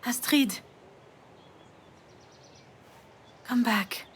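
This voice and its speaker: A woman's voice: fairly high pitch, measured pace, a little hiss or hum, close to an everyday voice. A woman playing a troubled, self-doubting, grieving mother in a narrative video game, speaking introspectively. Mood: confused, anguished. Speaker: voice actor in a visual novel game. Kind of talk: dramatic narrative game dialogue and introspective monologue.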